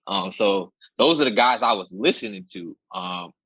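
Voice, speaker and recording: Male guest, from Connecticut, relaxed, husky low voice, dry sound. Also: normal speed, no echo. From Connecticut, USA. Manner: relaxed, easygoing, speaks plainly about his faith. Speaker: rapper guest. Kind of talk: casual interview chat.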